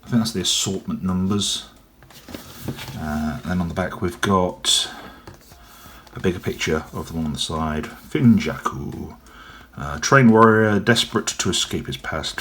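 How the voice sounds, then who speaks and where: A young man with a cold, his voice deep and gruff, chatting casually and rambling as he unboxes and reviews a toy. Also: a bit bright, a little hiss or hum. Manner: rambling, chatty, frequent ums. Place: indoors at a table, off camera.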